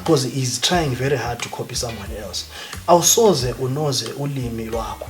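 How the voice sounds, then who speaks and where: Zimbabwean man, emphatic guest speaker, mid pitch, background music. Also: animated, normal speed. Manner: emphatic, passionate, mixes in Ndebele phrases. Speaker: guest, academic economist. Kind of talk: conversational interview.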